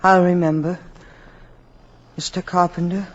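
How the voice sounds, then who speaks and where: A woman's voice: fairly low pitch, flat delivery, unhurried. An actress playing a shaken, earnest woman in a vintage science fiction radio drama. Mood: shaken, tender. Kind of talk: 1950s radio acting. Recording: muffled old radio recording.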